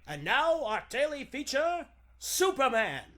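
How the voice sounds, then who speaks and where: Vintage radio announcer-narrator, male, emphatic and theatrical, high-pitched with lively swings. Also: unhurried, a little hiss or hum.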